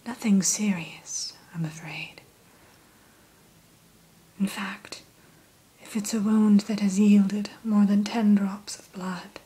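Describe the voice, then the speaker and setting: Slow whispering woman, ASMR. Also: mid-range voice, poor audio. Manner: soft whisper throughout; gentle, unhurried, mildly formal. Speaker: hatchery keeper in roleplay. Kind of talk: ASMR roleplay.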